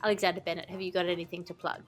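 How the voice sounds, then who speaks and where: A woman's voice: lowish voice, level tone, very fast, soft background music. A woman speaking as a podcast host, moving between casual chat and scripted promotion.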